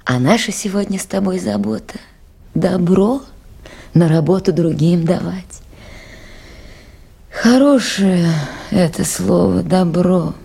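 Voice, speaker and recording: A woman's voice: on the low side, slow pace, gravelly, pauses often, warm tone, slightly rough, heavily acted. An actress playing a caring but anxious woman who runs a river station, in Soviet radio drama style. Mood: tender, reflective.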